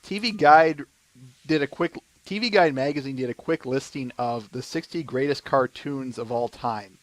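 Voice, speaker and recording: A man's voice: mid-range voice, normal speed, dry recording, poor audio. A man hosting a casual podcast, announcing the week's schedule in an easygoing way with frequent fillers. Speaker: podcast host.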